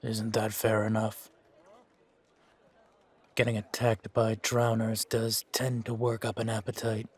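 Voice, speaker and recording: A man playing a gruff, world-weary fantasy monster hunter in an audio roleplay, wry and aloof, with a laconic, deadpan way of talking. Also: lowish voice, unhurried, long pauses. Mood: casual, reassuring. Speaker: voice actor in fan-made audio roleplay. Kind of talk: slow in-character audio roleplay.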